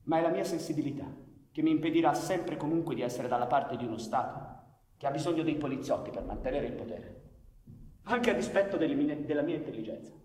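Actor as high-voiced defiant anarchist intellectual. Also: male voice, expressive, darkish tone, close to an everyday voice. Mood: impassioned. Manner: ironic, declamatory, deliberate. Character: defiant, idealistic, doubt-ridden male anarchist intellectual. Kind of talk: theatrical radio drama acting. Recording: echoey hall with background noise.

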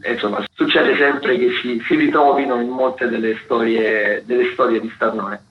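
A man with a mid-pitched voice talks through a listings rundown on the radio. He speaks haltingly, hunting for words and correcting himself as he goes. The sound is roomy and slightly dull. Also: steady tone, slight background noise. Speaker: theatre critic presenting a weekly events agenda. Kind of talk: loose spoken radio events rundown.

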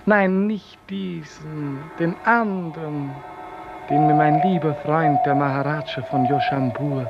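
An actor playing a tyrannical, capricious director-general in a pompous, theatrical 1950s German radio drama manner. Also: male voice, a little high. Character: tyrannical, capricious, self-important male director-general ruler. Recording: noisy, muffled vintage radio recording.

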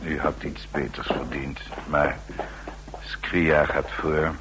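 A man's voice: rumbling low, flat delivery, slow, heavily acted. An actor playing a fascist state's henchman in a radio drama, formal and menacingly polite, on a noisy old recording. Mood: cold politeness. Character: coldly courteous male henchman of a fascist state.

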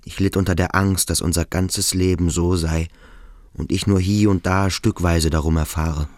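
An actor playing an introspective adolescent boarding school pupil in a radio play, halting and searching with quiet intensity. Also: male voice, sits low, steady tone, dry recording, clean studio sound, close to an everyday voice. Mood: brooding, searching. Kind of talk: German radio play monologue.

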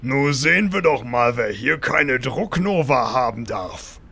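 A man playing a whiny, petulant, trigger-happy game hero with a raspy voice in a parody audio drama. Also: medium pitch, lively intonation, measured pace, sharp tone, exaggerated voice. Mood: wild, defiant.

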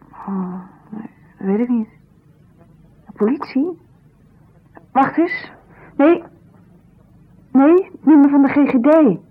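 A woman's voice: sits high, heavily acted. An actress playing an agitated, impatient woman in a naturalistic radio drama, speaking in urgent, clipped phrases. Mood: worried, urgent. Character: agitated woman, bold and impatient, anxious in crisis. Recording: dull lo-fi 1970s radio recording.

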